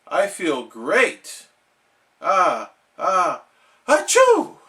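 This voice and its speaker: Guy doing a whiny sick cartoon sponge character, bright voice, jumps up and down in pitch. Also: wildly swooping pitch, very slow, exaggerated voice. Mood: strained cheerful. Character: excitable, sickly, pleading male cartoon sea sponge.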